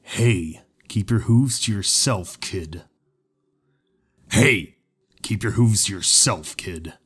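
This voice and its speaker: A man voicing a cold, serious pony in a curt monotone. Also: fairly low pitch, slow, slightly rough, heavily acted. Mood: stern warning.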